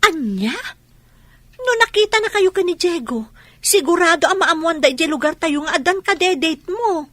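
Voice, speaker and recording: A woman's voice: extremely high pitch, animated, moderate pace, fairly bright tone, dry recording. An actress playing a worried young woman who questions her friend in a radio drama, with an Ilocano accent. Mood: warning.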